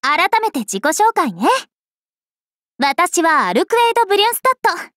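An actress playing a cheerful, playful young vampire princess in an anime game, speaking in a bubbly, teasing, animated way. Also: female voice, extremely high pitch, moderate pace, dry recording, clean studio sound, exaggerated voice. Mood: cheerful, chatty. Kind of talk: anime game character voice lines.

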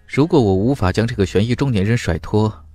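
A man's voice: on the low side, brisk, dark tone, no echo, faint music behind, natural voice. A male narrator voicing a young warrior hero who is cool-headed and resolute. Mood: calm, resolute.

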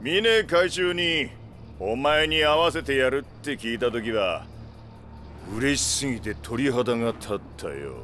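A man's voice: medium pitch, expressive, slow pace, fairly bright tone, faint music behind. A man playing a sadistic, greedy gangster boss in a video game drama, mocking and theatrical in his drawl. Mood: gleeful, taunting.

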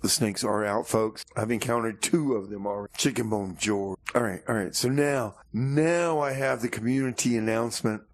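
A man's voice: lowish voice, normal speed, hardly any pauses. A man hosting a community radio show, talking casually with folksy, rambling asides between songs and announcements.

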